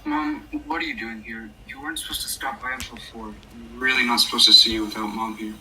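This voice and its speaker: A man's voice: mid-range voice, steady tone, a little fast, bright, forceful tone. A man playing the exasperated, worried son of a troubled mother in a radio play. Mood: wary. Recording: distant mic, faint background noise.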